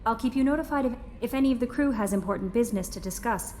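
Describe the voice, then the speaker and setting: A woman's voice: on the high side, quick pace, a little mellow, a little hiss or hum, lightly acted. A woman playing an earnest, personable young ship's aide in a video game. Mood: friendly. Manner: eager, personable.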